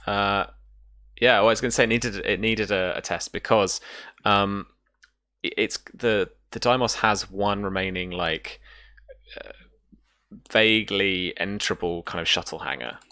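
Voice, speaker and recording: A man's voice: on the low side, a little slow, sharp tone, dry recording, studio quality. A man casually narrating and explaining rules as a game master, feeling his way through explanations piece by piece.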